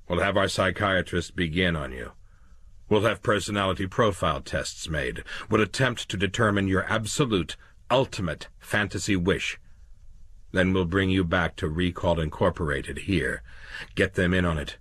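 Man doing a calm, businesslike official voice. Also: low-pitched, moderate pace, slightly rough, no room sound. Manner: level, businesslike, without malice. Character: calm official man, a police voice speaking into a mind.

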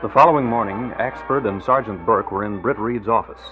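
A man's voice: fairly low pitch, brisk. A man announcing in scripted 1940s radio style. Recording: tinny old radio with faint music.

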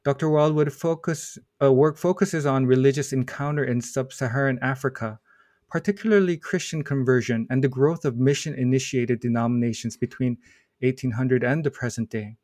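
A man hosts an academic podcast, working through long formal introductions in a measured, careful way. He trips over a name now and then and fills the gaps with a soft "uh". The sound is dry and close, with an even middle pitch. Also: dry recording. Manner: measured, reads formal bios with small stumbles and fillers. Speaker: podcast host. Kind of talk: reading a guest introduction, then interviewing.

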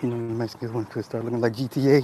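A man's voice: medium pitch, level tone, rapid-fire, mellow, a little hiss or hum. A man hosting a casual livestream who reads chat comments and tells stories in a loose, profane way, often laughing. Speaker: livestream host reading chat.

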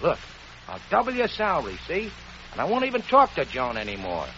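A brash, gluttonous rich boss, talking rough and slangy. His voice is bright and forceful, swinging widely in pitch. The sound is dull like an old radio broadcast, with faint hiss. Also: wildly swooping pitch, brisk, full character voice. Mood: pleading. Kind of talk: 1940s radio acting.

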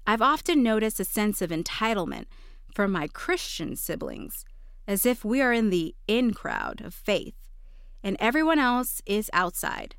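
A woman's voice: medium pitch, sing-song, measured pace, vocal fry, a bit bright, clear, resonant tone, no echo, studio quality. A woman reading a scripted daily devotional for a podcast.

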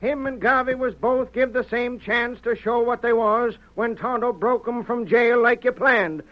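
Rustic Old West sheriff, American Western accent, plain-spoken, very high pitch, dull vintage radio sound. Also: male voice, moderate pace. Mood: firm, indignant.

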